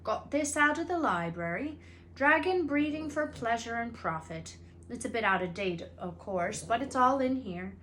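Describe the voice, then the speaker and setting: A woman voicing a big, excitable male gamekeeper in a storybook, eager, folksy, rambling and doting. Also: fairly high pitch, moderate pace, a little hiss or hum. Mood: eager, pleased.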